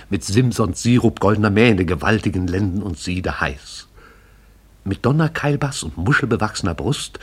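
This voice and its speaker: Deep, husky male narrator. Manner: lyrical, measured. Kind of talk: poetic radio drama narration.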